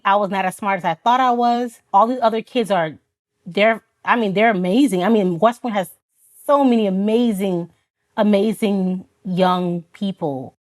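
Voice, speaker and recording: Earnest woman telling her story. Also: mid-range voice. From Florida, United States. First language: Haitian Creole. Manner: retells events with quoted dialogue, laughs often. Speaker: podcast guest, Army officer. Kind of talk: personal storytelling in an interview.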